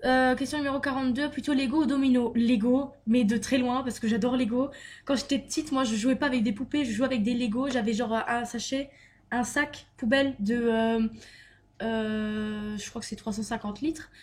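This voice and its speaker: A woman's voice: a little high, steady tone, moderate pace, a little mellow. A young woman vlogger reading tag questions aloud and answering them in a chatty, giggly way, with frequent fillers and "donc voilà". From Luxembourg. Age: young adult. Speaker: beauty vlogger.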